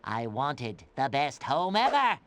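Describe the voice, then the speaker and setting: A woman's voice: extremely low pitch, big pitch swings, takes it slowly, full character voice. A woman voicing a sly, boastful thieving hermit creature in a cartoon video game, played theatrically. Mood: smug. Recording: music behind the voice.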